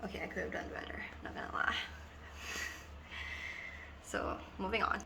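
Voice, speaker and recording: A woman's voice: mid-range voice, steady tone, moderate pace, creaky, noisy background. A woman presenting acting scripts, reading the synopsis aloud to camera. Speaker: amateur actor presenting scripts.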